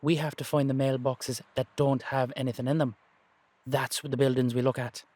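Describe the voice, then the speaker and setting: A man's voice: medium pitch, flat delivery, normal speed, darkish tone, no echo, close to an everyday voice. Irish accent, male actor as seasoned warrior mentor, blunt, coaxing, teasing, dry close sound, audio drama. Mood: explaining. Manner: blunt, affectionately scolding, coaxing. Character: gruff, teasing, long-lived Irish warrior, encouraging mentor. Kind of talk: amateur audio roleplay drama.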